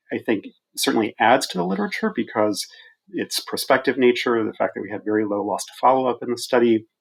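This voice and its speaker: A male physician researcher talking through evidence in an interview, deliberate and stressing key words. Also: lowish voice, creaky, husky, rough recording.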